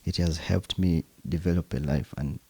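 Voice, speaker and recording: Ugandan male percussionist, Luganda native, husky low voice, easygoing with churchy asides, dry studio sound. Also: normal speed, mellow, no echo. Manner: chuckling, preacherly asides, demonstrates instruments. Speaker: percussionist guest. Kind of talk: relaxed interview conversation.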